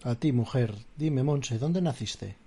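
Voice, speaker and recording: A man's voice: medium pitch, slow, mellow. A man hosting a podcast, welcoming his guest and prompting her with short, friendly questions. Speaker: podcast host. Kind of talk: podcast interview.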